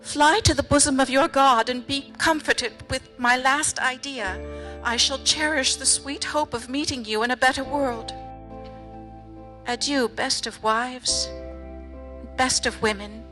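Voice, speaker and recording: An actress voices a devoted early American wife in a historical drama. Her voice sits a little high, with music underneath. She reads a farewell letter in a measured, tender and solemn way. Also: steady tone. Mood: loving, solemn. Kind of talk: dramatic reading of a period letter.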